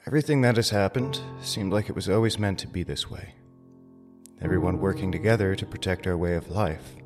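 A man's voice: low-pitched, fairly quick, music in the background, natural voice. A man playing a reflective, hopeful survivor narrating a zombie audio drama.

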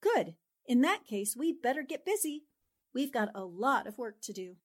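Woman acting as a bossy sly girl reporter, arch and coaxing, fairly high voice, old radio drama. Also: big pitch swings, normal speed. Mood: sly, satisfied. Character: sly, bossy, sophisticated newspaperwoman, coolly in charge.

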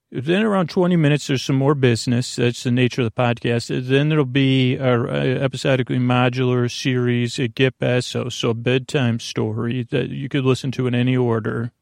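A man with a creaky voice hosting a bedtime podcast, rambling haltingly through tangents in a soothing, lulling way. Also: mid-range voice, dry recording, clean studio sound. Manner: meandering, halting, full of tangents. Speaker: podcast host.

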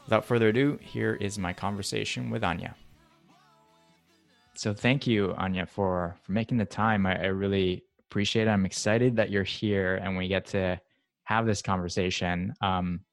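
A man hosting a podcast, reading a measured intro and then asking questions with occasional ums. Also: deep voice, steady tone, creaky, soft background music. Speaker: podcast host.

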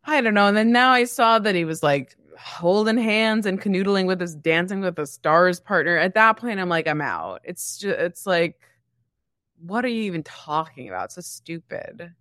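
A woman's voice: on the low side, animated, normal speed, a bit bright, no room sound, studio quality. A woman co-hosting a podcast in casual chat, talking in an emphatic, wry, teasing way. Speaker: podcast co-host.

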